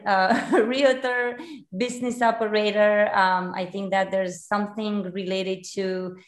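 A woman in her forties from Romania, a realtor guest in a casual interview, chatty with many fillers and restarts. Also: medium pitch. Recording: echoey room.

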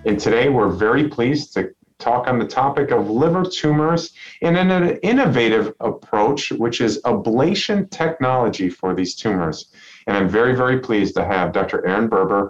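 A middle-aged man hosting a medical podcast and interviewing a guest in a measured way. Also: medium pitch, animated, soft background music. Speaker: podcast host. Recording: dull, lo-fi sound.

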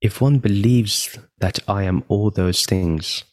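Male meditation guide, very low pitch, unhurried and calm delivery with long pauses, dry close sound. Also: no room sound.

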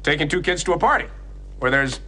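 A man's voice: on the high side, expressive, fast, pushed and bright. A man playing a blustering fake police officer in a TV sitcom, fumbling and self-righteous. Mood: flustered, scolding. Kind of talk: sitcom acting. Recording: noisy TV sitcom soundtrack.

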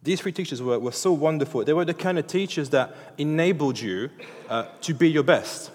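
A man's voice: fairly high pitch, expressive, fairly quick, a little mellow, in a large hall. A man in his thirties, a native French speaker, gives a sermon in an informal, chatty way, full of restarts and fillers. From France. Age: 30s. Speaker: preacher. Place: church hall.

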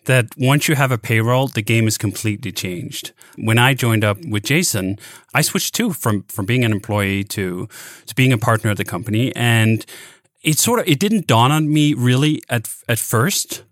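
A man's voice: mid-range voice, animated, normal speed, fairly bright tone, dry recording, studio quality. A man from Denmark, hosting a casual podcast conversation, frank and emphatic, swearing freely and restarting phrases. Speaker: podcast host.

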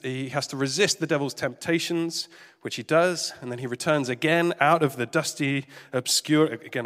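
A man preaching a sermon in a gentle, careful, conversational way, sounding a bit congested and nasal. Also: mid-range voice. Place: church service hall. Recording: reverberant hall with faint music.

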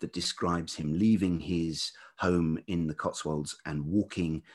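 A man with a velvety voice talking reflectively as an interview guest, thoughtful and halting, often pausing mid-phrase. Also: deep voice, fairly even intonation. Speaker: podcast guest, writer and life writing teacher.

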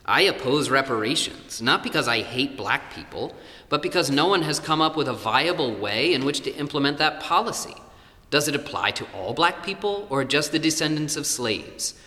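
A measured, self-assured man an actor in a radio play lectures like a conservative panelist. His voice is bright and forward, articulate, and rings through a long hall reverb. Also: fairly high pitch, expressive. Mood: defensive, reasoned. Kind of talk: staged panel debate in an audio play.

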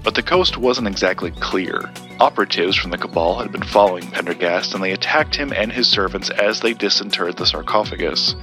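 Man playing a deep-voiced, earnest occult collector. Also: music in the background, lightly acted. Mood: measured storytelling. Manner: measured, storytelling. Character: earnest, guarded male collector of occult lore. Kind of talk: audio drama dialogue.

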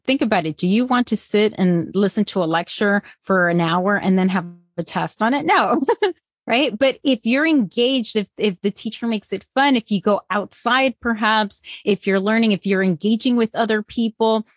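A woman's voice: medium pitch, moderate pace, no room sound, clean studio sound. A woman from Colombia, a bilingual parenting educator, answering interview questions in an earnest, encouraging way with frequent restarts.

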